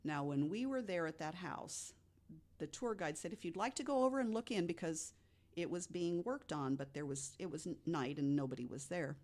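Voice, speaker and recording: A woman co-hosting a podcast, telling a ghost story conversationally, step by step with dramatic pauses. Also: lowish voice, darkish tone. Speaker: podcast guest co-host.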